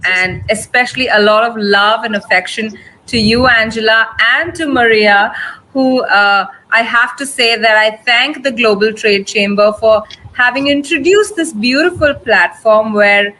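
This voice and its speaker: A woman's voice: a little high, unhurried, pushed and bright, a little hiss or hum, low-quality recording. A woman, a guest on a talk show, graciously and effusively thanking her hosts. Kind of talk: thank-you speech.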